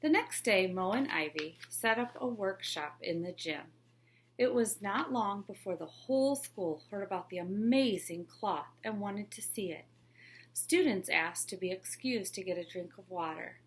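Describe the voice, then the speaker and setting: A woman teacher reading a children's picture book aloud with a clear, expressive storybook delivery. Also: mid-range voice, unhurried.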